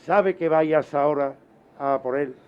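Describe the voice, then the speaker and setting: A man's voice: a little high, normal speed, clear, resonant tone, muffled recording. A man with a Spanish accent from Spain, chatting casually as a radio show host.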